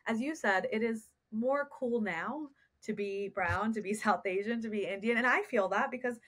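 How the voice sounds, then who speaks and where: A woman's voice: a little high, normal speed, a bit bright. A woman, a therapist speaking as a guest on a podcast interview, earnest and reflective, often posing rhetorical questions. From United States.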